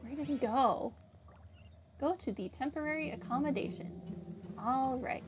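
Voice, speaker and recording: A woman's voice: high voice, measured pace, background noise. A woman gamer giving casual playthrough commentary and sometimes reading dialogue aloud. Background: game music.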